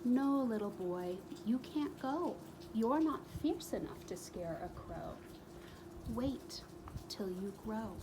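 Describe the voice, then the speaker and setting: A woman's voice: a little high, lively intonation, slow, noisy background. A woman voicing a stern, dismissive old male scarecrow father from a storybook, refusing firmly.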